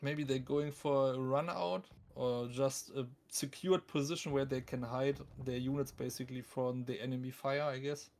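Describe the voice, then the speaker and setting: A man's voice: mid-range voice, fairly even intonation, moderate pace, hardly any pauses, darkish tone. A man with a thick German accent doing live esports commentary on a game, casual, joking and self-deprecating as he talks to his viewers.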